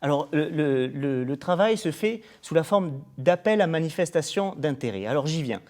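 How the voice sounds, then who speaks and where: A man's voice: fairly high pitch, expressive, normal speed, darkish tone. A man presenting a programme in an interview, formal and explanatory, often going through points as lists. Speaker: programme coordinator being interviewed.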